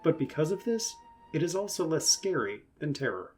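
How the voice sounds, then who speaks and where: A man reading rulebook passages aloud as scripted narration, in a measured, dramatic explanatory way. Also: fairly high pitch, sing-song, a little mellow, faint music behind. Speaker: reader of rulebook quotations.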